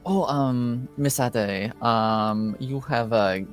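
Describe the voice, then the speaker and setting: A man serves as game master, narrating a tabletop roleplaying session at an unhurried, drawn-out pace. He talks in a loose, halting way and fills his pauses with ums and likes, while faint music plays quietly behind him. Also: medium pitch. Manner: relaxed, hesitant, full of ums and likes.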